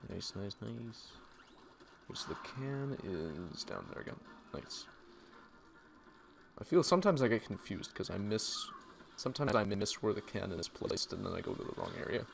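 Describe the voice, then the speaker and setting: A man streaming a game, hoarse and losing his voice, chatting casually and answering his viewers. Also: lowish voice, big pitch swings, normal speed, background noise. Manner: reads chat aloud and answers viewers. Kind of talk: casual live-stream commentary.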